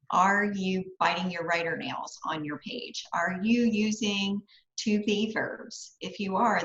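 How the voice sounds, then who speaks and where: Female guest mentor, medium pitch, conversational coaching delivery, roomy sound. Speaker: guest author, writing mentor and life coach. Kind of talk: conversational advice-giving. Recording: dull, roomy microphone sound.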